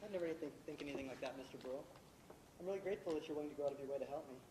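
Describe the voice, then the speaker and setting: A man's voice: on the high side, racing, close to an everyday voice. A man playing a polite, earnest young aspiring playwright in an amateur stage play. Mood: earnest, deferential. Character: eager, deferential young male aspiring playwright. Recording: echoey stage recording, clear noise.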